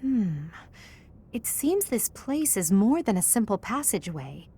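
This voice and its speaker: A woman's voice: medium pitch, sing-song, unhurried, warm tone, slightly rough, exaggerated voice. An actress voicing a serious, commanding young noblewoman leader in a video game, formal, cool and authoritative. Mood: observant.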